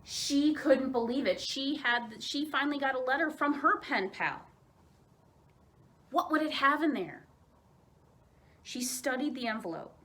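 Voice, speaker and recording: Female children's storyteller, higher-pitched voice, very animated delivery with dramatic pauses. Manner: expressive, with dramatic emphasis and pauses. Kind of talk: read-aloud children's storytelling.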